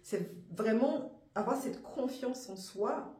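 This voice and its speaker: A woman with a hoarse voice from a cold, presenting an afro hair care course in a halting, thinking-aloud, earnest way. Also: fairly high pitch, expressive, darkish tone, echoey room. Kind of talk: informal spoken course presentation.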